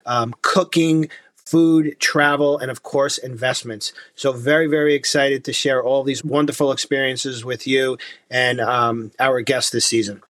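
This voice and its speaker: A man's voice: medium pitch, steady tone, unhurried, fairly bright tone, no echo. Co-host: man, mid-range voice, friendly and casual, dry close-mic sound. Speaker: podcast co-host. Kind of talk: casual self-introduction.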